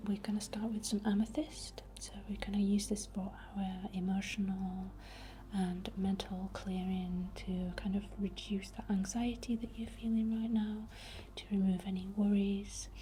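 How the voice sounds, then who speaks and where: A woman whispering breathily and gently as an ASMR host, chatting and guiding a healing ritual in an unhurried way. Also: medium pitch, a little hiss or hum.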